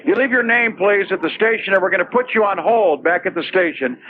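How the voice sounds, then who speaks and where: Fast, high-pitched male radio host. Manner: brisk, blunt, bantering. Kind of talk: live radio hosting. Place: live remote broadcast at a venue. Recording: thin phone-like 1980s radio tape.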